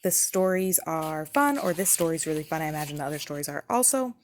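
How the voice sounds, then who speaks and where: A woman's voice: deep voice, lively intonation, fast, slight background noise. A woman in her thirties giving a casual, explanatory product review in a conversational way, like a parent vlogger. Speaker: parent reviewing children's subscription boxes.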